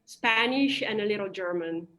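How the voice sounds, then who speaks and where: A woman whose first language is Italian, a real estate agent answering interview questions in a chatty way, with fillers and quick laughs. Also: mid-range voice, bright, forceful tone.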